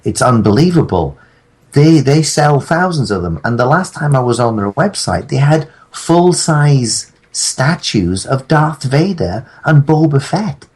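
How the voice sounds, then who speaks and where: A man's voice: mid-range voice, big pitch swings, measured pace, a little mellow, breathy edge. A middle-aged man chatting casually on a podcast, joking with mock-formal asides.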